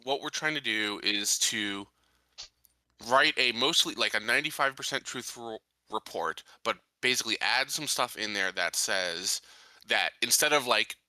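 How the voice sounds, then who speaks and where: A man's voice: mid-range voice, normal speed, pushed and bright, no room sound, rough recording. A man chatting casually as a player in a tabletop game, working through a plan.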